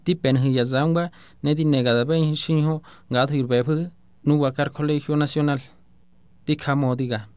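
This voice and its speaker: A man reading an opening message aloud in a measured, recited cadence. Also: a little high, fairly even intonation, darkish tone, smooth, no room sound. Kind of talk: formal reading in Otomi.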